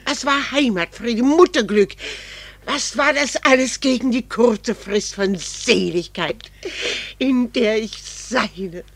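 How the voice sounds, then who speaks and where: Passionate middle-aged wife, husky voice, solemn and emphatic, resolute, old radio play. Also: female voice, fairly high pitch, slow pace. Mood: fervent longing. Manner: grave, fervent, solemnly emphatic. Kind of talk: 1960s German-language radio drama acting.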